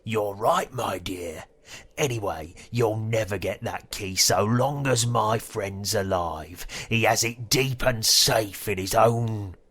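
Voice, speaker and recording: An actor voicing a defiant, taunting cultist henchman in a video game, with a rough, husky voice. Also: fairly low pitch, fairly bright tone, full character voice. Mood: smug taunting. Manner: slow, taunting.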